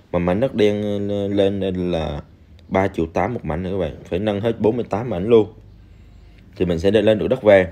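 A man's voice: sits low, mellow. A man talking casually over a game screen recording, speaking straight to viewers with many fillers. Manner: chatty, addresses viewers directly, many fillers. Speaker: game reviewer.

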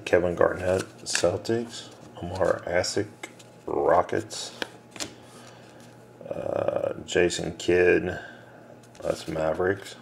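Man, very deep gravelly voice, slow delivery, camera mic with noisy background. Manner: reads names and teams in a low mumble. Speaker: card breaker. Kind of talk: casual card-break commentary. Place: small room indoors. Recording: noisy room with card shuffling.

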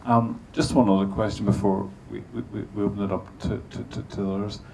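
A man's voice: on the low side, flat delivery, a little fast, vocal fry, warm tone, a little hiss or hum, low-quality recording. A middle-aged man chairing a panel on a cinema stage, asking long questions full of restarts. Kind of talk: interviewing a guest on stage. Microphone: handheld mic.

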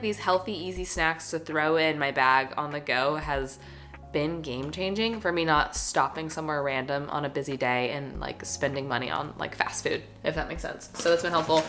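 Lifestyle vlogger, twenties, stuffy nose, slight rasp, lower pitch, chatty to camera at home. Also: female voice, a little hiss or hum. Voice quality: slightly raspy. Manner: chatty and upbeat, trailing into soft asides. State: stuffy, congested. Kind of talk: casual chat to camera. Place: living room and kitchen at home. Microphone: camera at close range.

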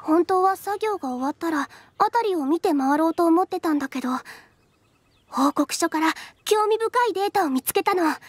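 A woman voicing a shy, earnest young researcher in an anime game, soft and girlish, explaining things gently. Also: very high voice, lively intonation, normal speed, no echo. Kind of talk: Japanese anime game voice acting. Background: game music.

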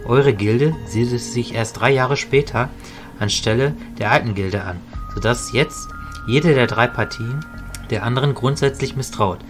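A man playing a calm, knowledgeable mentor mage, explaining in a measured way in an audio drama. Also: medium pitch, over music, close to an everyday voice. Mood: grave recounting. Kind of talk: hobby audio drama storytelling.

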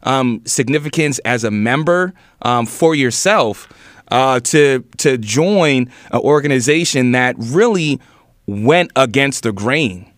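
A man's voice: medium pitch, expressive, slow pace, a bit bright, dry recording, studio quality. A man moderating a panel discussion, hesitant, stumbling through his questions. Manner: hesitant, many fillers and restarts.

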